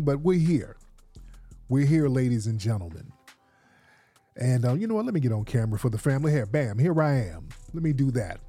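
A man's voice: mid-range voice, warm tone. A man hosting a late-night live show, congested, talking in a hyped, casual way and repeating himself. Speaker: host.

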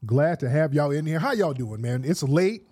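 A congested man hosts a live late-night show, chatting loosely and repeating himself. His voice sits a bit high. Faint music runs underneath. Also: lively intonation, normal speed, a little mellow, breathy edge. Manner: hyped, casual, repeats himself. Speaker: host.

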